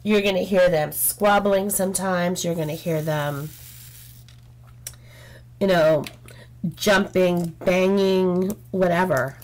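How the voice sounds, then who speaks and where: A woman's voice: fairly low pitch, slow pace, pauses often, darkish tone. A woman chatting casually while she works on a craft, frank and rambling with lots of "um"s, her throat getting sore. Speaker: craft channel host. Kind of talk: casual chat while diamond painting. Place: home craft table, indoors.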